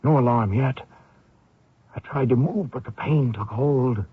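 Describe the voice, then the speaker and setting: Desperate fugitive, tinny radio sound. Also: male voice, mid-range voice, a little slow, breathy edge. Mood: haunted, tense. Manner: dramatic first-person narration with strained pleading. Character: desperate wounded American fugitive soldier recounting his escape. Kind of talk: old-time radio drama acting. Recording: tinny old radio broadcast with music.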